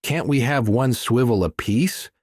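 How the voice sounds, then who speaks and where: A man's voice: mid-range voice, a little slow, darkish tone, dry recording, studio quality, pitched above the performer's own voice, natural voice. A male narrator plays a watchful rock musician. He is earnest and emphatic, talking to his bandmates in plain, direct lines in a thriller audiobook. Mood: serious, wary.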